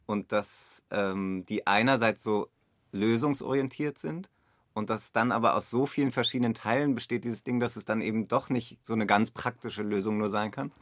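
A man's voice: fairly low pitch, moderate pace, dry recording, clean studio sound. A man speaking as a radio director in an unscripted conversation, explaining his interpretations in a reflective way. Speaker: radio play director in discussion.

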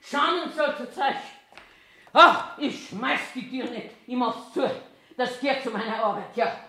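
A woman's voice: on the high side, expressive, unhurried, sharp tone, full character voice. An actress in her eighties playing a cranky, eccentric old toilet attendant in a theatrical monologue, querulously talking to herself in a Bavarian accent. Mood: indignant, defiant. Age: 80s. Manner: self-talking, querulous, emphatic. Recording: echoey room sound.

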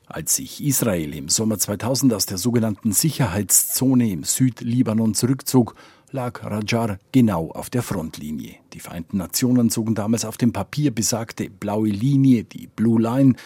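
A male reporter narrating a scripted news feature in a measured, matter-of-fact way. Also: on the low side, warm tone, husky, no room sound.

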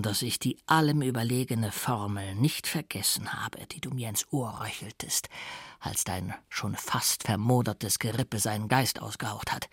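A male reader plays a mysterious occult doctor from a Gothic audiobook tale. He speaks grandly and theatrically, with a gravelly, husky edge. His manner is zealous and faintly scornful, as if every phrase were an incantation. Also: mid-range voice, no echo, studio quality, heavily acted. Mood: conspiratorial, incantatory. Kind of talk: dramatic audiobook character acting.

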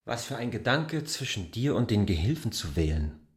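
A man's voice: fairly low pitch, very animated, normal speed. An actor playing an insistent, argumentative lover in a literary radio drama, making his points emphatically. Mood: dismissive.

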